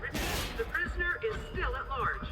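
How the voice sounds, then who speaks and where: An actor playing a stern, formal base announcer over a loudspeaker in a video game. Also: male voice, very high-pitched, animated, normal speed. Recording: echoing distant loudspeaker, loud music and gunfire.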